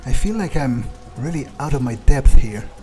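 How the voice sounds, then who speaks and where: A man improvising in-character game narration, halting and sweary as he mutters to himself. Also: medium pitch, animated, music in the background. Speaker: player role-playing his game character.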